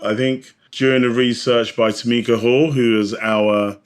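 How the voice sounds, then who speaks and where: A man's voice: medium pitch, steady tone, normal speed, clean tone. A man speaking as a podcast guest, telling stories and explaining in a reflective, conversational way. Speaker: podcast guest, drinks industry advocate. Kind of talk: conversational interview, storytelling.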